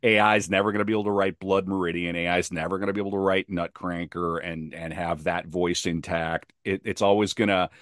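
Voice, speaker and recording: A man's voice: deep voice, fairly even intonation, brisk, few pauses, fairly bright tone, no echo, studio quality. A man talking casually as a podcast guest, opinionated and emphatic, often repeating himself to press a point.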